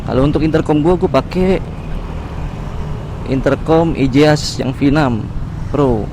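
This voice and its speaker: A man's voice: on the high side, measured pace. A man with a Jakarta Indonesian accent chatting casually and slangily to viewers while he rides a motorbike outdoors. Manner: informal, slangy, addressing viewers; talking while riding a motorbike. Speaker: motovlogger. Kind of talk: casual vlog chat. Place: outdoors on a motorbike on city roads. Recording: music behind, outdoor road and vehicle sounds. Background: music and vehicle noise.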